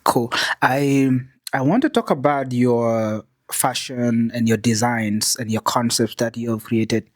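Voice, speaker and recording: A man's voice: mid-range voice, unhurried, few pauses, no room sound, studio quality. A man hosting a podcast interview, asking questions in a chatty, conversational way. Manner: chatty, friendly, many affirming "mm" and "yeah". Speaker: podcast host. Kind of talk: casual interview.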